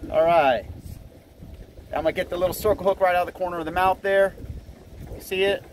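Casual American male fishing host, high-pitched voice, folksy delivery, recorded outdoors on a boat with noticeable background noise. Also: fairly even intonation, brisk. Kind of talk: casual running commentary while fishing. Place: outdoors on a small boat on open water. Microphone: handheld camera or phone. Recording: noisy outdoor boat and water sound.

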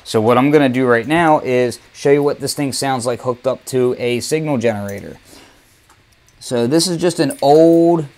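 A man's voice: mid-range voice, normal speed, darkish tone. Casual man demonstrating music gear. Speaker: presenter of music gear demos. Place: basement studio.

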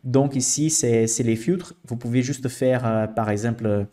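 Young guy, teaching stock filters on screen share, steady mid voice, lots of "ok?". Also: mid-range voice, moderate pace. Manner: explanatory, frequent "ok?" checks and fillers. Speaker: investing channel host. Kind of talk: screen-share finance tutorial.

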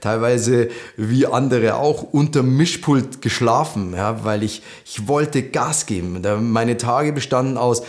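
Man, 30s, Lower Bavarian accent, lively to-camera storyteller, mid-range voice, recorded in a living room. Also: a little slow, hardly any pauses. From Lower Bavaria, Germany. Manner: chatty, animated, gestures with hands. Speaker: host.

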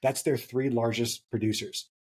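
A man in his thirties with a slightly husky voice, co-hosting a podcast and explaining points emphatically, repeating key phrases for stress. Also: mid-range voice, fairly even intonation, normal speed, a little mellow. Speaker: podcast co-host. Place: small home room, indoors.

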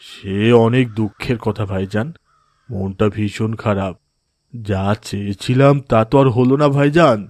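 A man's voice: lowish voice, measured pace, darkish tone, no echo, studio quality. A man voicing an adult father in a cartoon, dejected and easily swayed, with a hesitant, earnest manner. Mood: regretful. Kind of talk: cartoon voice acting in moral story.

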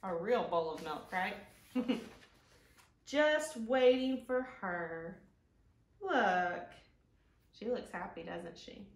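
A woman in her thirties hosting a children's storytime, speaking in a gentle, sing-song, drawn-out way to young viewers. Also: medium pitch, expressive. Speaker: library storytime host. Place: living room, indoors, on a couch. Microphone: camera a few feet away. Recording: roomy echo from camera distance.

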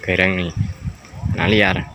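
Deep gravelly guy vlogging on his phone outside, slow chatty talk, loud outdoor noise all round. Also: little pitch movement. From Indonesia. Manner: chatty, addresses viewers as guys. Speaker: travel vlogger. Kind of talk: walking tour commentary. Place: outdoors at a park by a reservoir. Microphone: handheld phone camera.

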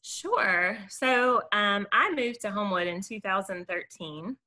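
Woman, American accent, bright and forceful voice, presenting informally on a video call as a garden caretaker. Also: mid-range voice, lively intonation, unhurried, slight background noise. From Mobile, Alabama, United States.

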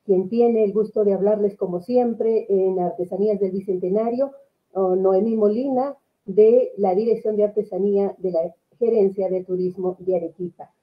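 A dull, lo-fi video call carries a Peruvian woman's voice at a middle pitch. She speaks formally and ceremoniously, as an official host introducing a webinar. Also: steady tone, normal speed. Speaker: host, regional government crafts official. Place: small room with bookshelves, indoors. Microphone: computer microphone on video call.

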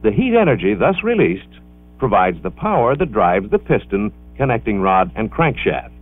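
A man reading scripted educational film narration in a measured, instructional way with careful enunciation, on an old film soundtrack. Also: on the low side, big pitch swings, husky, no room sound, narrow, tinny audio. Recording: band-limited vintage 16mm film soundtrack.

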